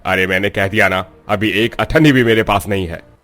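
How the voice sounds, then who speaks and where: A man voicing a gruff, dismissive village man in a cartoon story. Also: fairly low pitch, brisk, fairly bright tone, natural voice. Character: stingy landlord and envious farmer in village. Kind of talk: Hindi cartoon dialogue.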